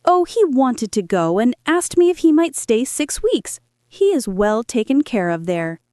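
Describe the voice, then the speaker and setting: A cold, scheming stepmother out of a fairy-tale storybook, played by a woman reader. She sounds falsely sweet, then curt, and her pitch swings widely. The sound is dry and close. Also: sing-song, a little slow, a little mellow, dry recording.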